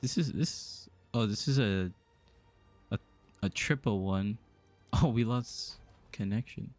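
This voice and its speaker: Male streamer, young adult, low voice, unhurried, musing, chatting with viewers. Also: darkish tone, soft background music. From US East Coast. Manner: musing aloud, hesitant, answering chat. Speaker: game streamer. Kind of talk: casual live-stream chat while playing.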